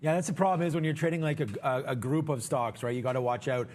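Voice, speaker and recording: A man's voice: fairly high pitch, fast, darkish tone. A man co-hosting a live trading show, giving casual market commentary in an informal, chatty way straight to viewers.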